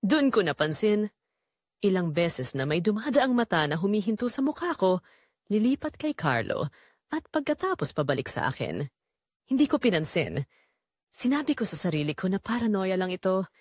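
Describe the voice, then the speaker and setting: Dramatic woman narrator, very animated pitch. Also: no echo, studio quality. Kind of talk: dramatic first-person scripted narration.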